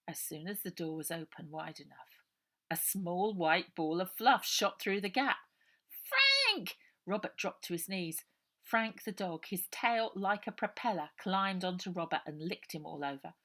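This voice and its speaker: Low-pitched woman storyteller. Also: a little slow, fairly bright tone. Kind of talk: expressive scripted children's story reading.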